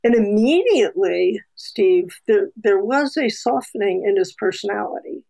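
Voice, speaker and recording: Woman, gravelly edge, mid-pitched, candid, chatty, filmmaker guest. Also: expressive, moderate pace, mellow, poor audio. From New Jersey, USA. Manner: chatty, candid, frequent fillers and restarts. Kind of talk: casual interview storytelling.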